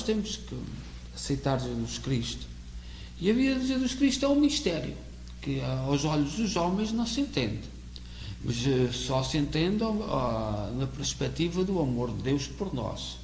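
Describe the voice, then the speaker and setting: A man from Portugal with a European Portuguese accent, preaching an earnest sermon in a halting way, often repeating words as he searches for the next one. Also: mid-range voice, darkish tone. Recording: echoey room with faint hum.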